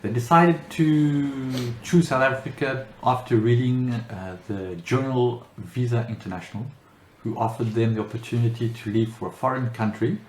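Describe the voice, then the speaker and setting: A man's voice: mid-range voice, normal speed, hardly any pauses, darkish tone. A middle-aged man from France answering interview questions, talking hesitantly with many fillers and restarts. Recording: roomy echo, faint background noise.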